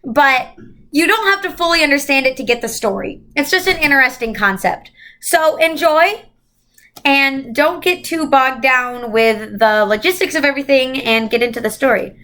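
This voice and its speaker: A woman reading a children's novel aloud and pausing to explain it simply to young listeners. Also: high voice, sharp tone. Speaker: volunteer reader for a children's club.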